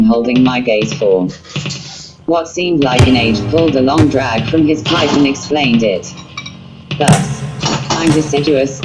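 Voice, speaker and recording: A woman reading a scripted story as narrator in a flat, literal, synthetic manner. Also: very deep voice, poor audio. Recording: music under the voice. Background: guitar music.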